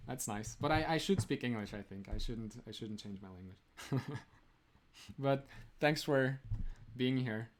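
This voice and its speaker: A man's voice: mid-range voice, measured pace. A young man from Romania, the host of a live stream, chatting casually with viewers, laughing often and filling his talk with "like". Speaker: streamer answering chat.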